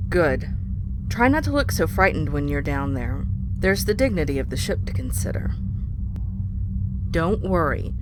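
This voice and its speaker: An actress playing a confident starship first officer in a sci-fi audio drama, dry and teasing. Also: female voice, very animated, unhurried, pauses often. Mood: wry teasing. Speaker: voice actor. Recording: clear rumbling ambience noise.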